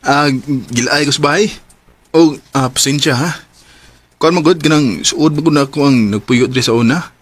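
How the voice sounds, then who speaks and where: A man's voice: fairly high pitch, moderate pace, a bit bright, slightly rough. An actor playing a nervous young man who stammers and hesitates, in a Filipino radio drama with a Cebuano accent. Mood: awkward, evasive. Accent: Filipino, Cebuano-speaking. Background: background music.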